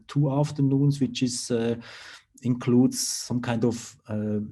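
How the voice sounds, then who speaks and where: A man with a Swiss accent presents over a video call. His voice is mellow and dark. He speaks haltingly, with many fillers and restarts. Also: mid-range voice. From Switzerland. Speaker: university lecturer. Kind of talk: online conference presentation.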